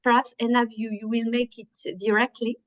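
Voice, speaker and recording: A woman's voice: a little high, level tone, normal speed, dull audio. A woman from France with a French accent, presenting at an online workshop with many fillers and restarts. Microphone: video call.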